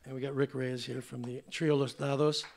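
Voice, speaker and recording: An older man speaks from a theater stage, slightly hoarse, introducing his song in rambling, chatty stage talk full of fillers. His pitch sits in the middle, with faint noise behind him. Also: little pitch movement, fairly quick. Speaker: singer-songwriter. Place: theater stage at a museum event.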